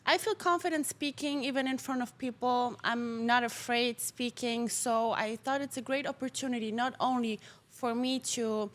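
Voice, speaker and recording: Polish-born woman guest, high bright voice, talkative, quick to laugh, casual podcast chat. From Poland. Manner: lively, talkative, laughs often.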